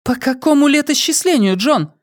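A man voicing an imperious noblewoman who interrogates a prisoner in an audiobook, with a sharp, commanding manner. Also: very high voice, lively intonation, normal speed, dry recording, studio quality, pitched above the performer's own voice. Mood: urgent, commanding. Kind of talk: audiobook character voice.

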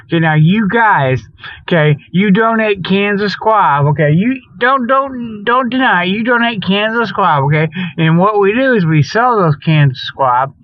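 A man's voice: sits high, no room sound. A man hosting a comedy radio show with a rambling, folksy, colloquial monologue, given to chuckling at his own jokes. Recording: dull lo-fi radio audio.

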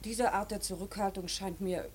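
An actress playing a painter's earnest, questioning grown daughter in a radio play, with a husky voice. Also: female voice, mid-range voice, fairly even intonation, moderate pace, darkish tone, lightly acted. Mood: earnest protest. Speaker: radio drama actor. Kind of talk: German radio play acting.

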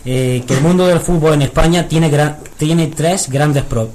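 A man's voice: fairly high pitch, unhurried, darkish tone. A man hosting a radio interview, asking questions formally and politely. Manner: formal, uses usted. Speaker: radio host.

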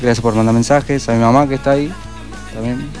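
Male band guest, Argentine accent, casual shout-out chat, mid pitch, light music underneath. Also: steady tone, fast talker. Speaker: band member, interview guest.

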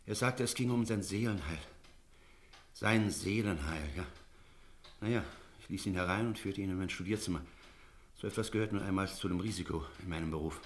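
An actor playing an energetic, rational village priest in a German radio drama, speaking in a decisive, emphatic way. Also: male voice, sits low, fairly quick, slight background noise, lightly acted. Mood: agitated, urgent. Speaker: character in a radio play.

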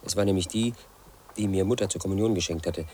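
An actor in his thirties playing an obsessive, aggrieved man who tells his story in a radio play monologue, in a flat, insistent, quietly resentful way. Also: low-pitched, fast talker, a little hiss or hum, natural voice. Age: 30s. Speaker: narrator of his own story.

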